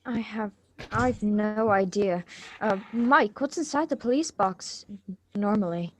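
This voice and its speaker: A woman's voice: mid-range voice, unhurried, slight background noise, close to an everyday voice. A woman playing a polite, evasive, bookish schoolgirl in a live radio drama reading, with a hesitant, stammering delivery.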